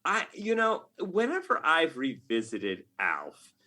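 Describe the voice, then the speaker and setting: A man's voice: fairly high pitch, wildly swooping pitch, slow, bright, forceful tone. A middle-aged man talking casually as a podcast guest, with a wry, self-mocking, nerdy enthusiasm. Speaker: podcast guest, game writer.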